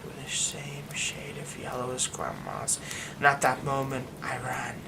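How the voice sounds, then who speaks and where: A man voicing a haunted young man hiding a werewolf curse, speaking in a hushed, halting way that often drops to a whisper. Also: medium pitch, wildly swooping pitch, creaky, very noisy, heavily acted. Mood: nervous, haunted. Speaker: ASMR roleplay performer. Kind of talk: ASMR horror roleplay.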